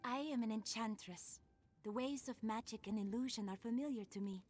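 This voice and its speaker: A woman playing a mysterious, calm enchantress in a fantasy video game, speaking in measured, formal, old-fashioned phrasing. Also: fairly high pitch, faint music behind, close to an everyday voice. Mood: calm, helpful.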